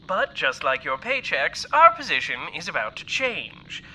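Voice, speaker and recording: Sharp, pompous corporate villain, noisy transmission. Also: male voice, a little high, big pitch swings, moderate pace, heavily acted. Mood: menacing. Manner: formal, pompous, menacing. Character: smug, officious male corporate spokesman issuing threats. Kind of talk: audio drama acting, scripted announcement. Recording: noisy recorded broadcast message.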